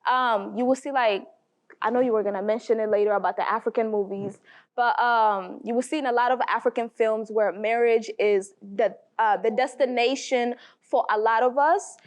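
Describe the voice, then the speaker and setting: A woman in her twenties from Tanzania, a talk show guest who talks in an animated way and searches for her words. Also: fairly high pitch, moderate pace. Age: 20s. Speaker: panel guest. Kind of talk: casual discussion.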